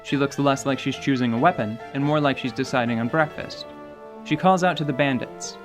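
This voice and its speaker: Radio drama narrator, steady, descriptive, scripted. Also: medium pitch, brisk, soft background music.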